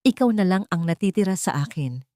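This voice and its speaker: Female narrator as elderly wealthy grandfather, remorseful, solemn, sentimental, low pitch, audio drama. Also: animated, a little fast, warm tone, no room sound, studio quality, natural voice. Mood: tender, pleading. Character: remorseful, pleading old grandfather, wealthy patriarch.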